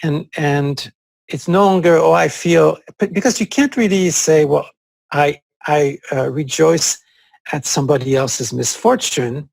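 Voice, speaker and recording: A man with French as his first language, talking through ideas in a relaxed discussion. His voice is strongly gravelly and somewhat husky, and he often pauses with fillers as he thinks. The sound is dry and close. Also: on the high side, dry recording. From France (Corsica). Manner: thoughtful, hesitant with many fillers. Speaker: co-host. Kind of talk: conversational discussion.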